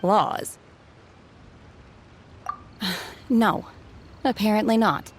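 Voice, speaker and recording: A woman voicing an aloof, blunt young warrior in a video game, calm, deadpan and quietly menacing. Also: mid-range voice, very slow, noisy background. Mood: detached, calm.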